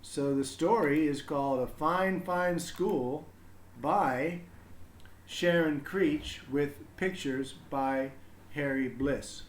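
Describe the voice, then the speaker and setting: Echoey, higher-voiced man rambling on a livestream. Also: takes it slowly, a little hiss or hum. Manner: rambling, thinking aloud. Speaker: live streamer reading to children. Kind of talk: casual explanatory livestream talk. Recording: roomy, echoing room sound.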